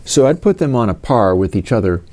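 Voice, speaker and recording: A man's voice: on the low side, very animated, fairly quick, darkish tone. A man in his fifties from the United States, answering viewer questions in a casual, rambling way with many fillers and restarts. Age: 50s.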